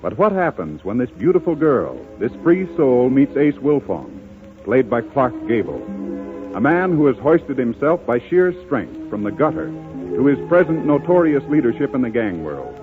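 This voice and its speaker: Male American announcer-narrator, scripted delivery, lively intonation, muffled 1930s radio sound with music. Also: medium pitch, moderate pace.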